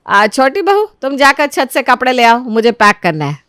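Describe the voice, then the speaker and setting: A woman voicing a devout, authoritative older mother-in-law who is quick to scold, in a Hindi moral story. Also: high voice, big pitch swings, fairly bright tone, no room sound, clean studio sound, voice pushed up. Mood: matter-of-fact.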